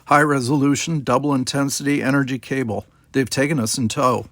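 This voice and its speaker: A man voicing a composed male science officer giving measured, matter-of-fact technical reports in an audiobook. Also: mid-range voice, steady tone, a bit bright, no room sound, lightly acted. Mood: brisk, precise. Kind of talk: dramatized audiobook dialogue.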